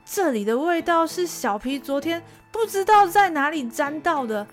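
A woman voicing a defiant, sharp-tongued young boy thief who protests indignantly. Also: high-pitched, lively intonation, normal speed, faint music behind. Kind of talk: radio drama acting.

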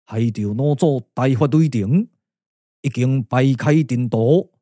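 An actor with a Taiwanese accent playing a solemn, weary strategist, speaking in measured, stately puppet radio drama style. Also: male voice, mid-range voice, dark tone, dry recording, studio quality. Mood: grave.

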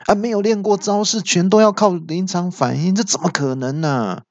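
A man plays a blustering demon elder brother in a martial-arts tale. The voice jumps high, urgent and scolding. The sound is dry and a little dull. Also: normal speed, dry recording. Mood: scornful.

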